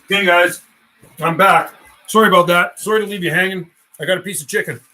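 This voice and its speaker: A man's voice: high voice, fairly even intonation, fairly quick, bright, forceful tone, faint music behind. A man hosting a CB radio hobby livestream, chatting casually in a rambling, folksy way and making radio calls.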